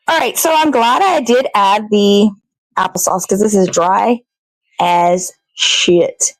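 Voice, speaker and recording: A woman's voice: medium pitch, slow. Woman chatting while she cooks, kinda talks to herself, swears a lot, no room echo. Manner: rambling, self-talking, frequent swearing. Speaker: host of a daily puppet show. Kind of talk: casual chat while cooking.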